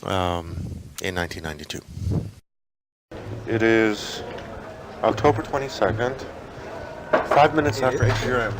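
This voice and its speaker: A man with a fairly low voice talks casually, as if keeping an audio diary. He is a little halting and corrects himself as he goes. The home tape recording is plainly noisy. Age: 30s. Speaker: maker of a home audio zine.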